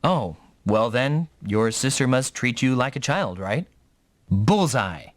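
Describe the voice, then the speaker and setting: A man's voice: mid-range voice, lively intonation, slow pace, no echo. A man voicing a young, easygoing, teasing mech pilot in an anime-style video game dub. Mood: wry. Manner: casual, teasing.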